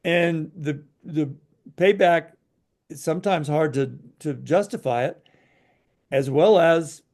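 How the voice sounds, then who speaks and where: A man's voice: fairly high pitch, slow pace, darkish tone. A man co-hosting a podcast, giving frank opinions in casual chat, hesitant and full of fillers. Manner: frank, hesitant, many "you know" fillers. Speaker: podcast co-host.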